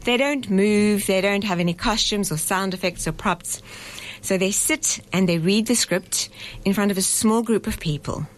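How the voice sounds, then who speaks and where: A woman's voice: medium pitch, normal speed, a little hiss or hum. A woman being interviewed in a radio studio as a festival director, explaining things step by step in a chatty way and laughing easily. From South Africa.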